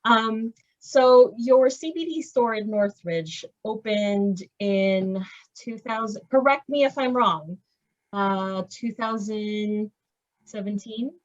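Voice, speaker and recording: A woman's voice: mid-range voice, slow. A woman hosting a video call interview, chatty and a little rambling. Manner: chatty, friendly, rambling with fillers and laughs. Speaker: interview host. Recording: dull lo-fi call audio.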